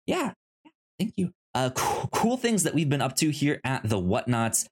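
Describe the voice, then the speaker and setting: A man hosting a podcast in a casual, chatty style, sometimes halting and repeating words as he searches for phrasing. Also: medium pitch, big pitch swings, husky. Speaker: podcast host.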